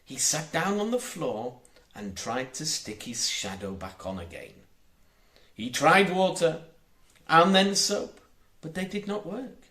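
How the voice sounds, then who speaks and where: A man's voice: a little high, very animated, slow, bright, forceful tone, reverberant. A man reading a children's storybook aloud as an audiobook narrator, expressively emphasizing his words. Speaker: audiobook reader.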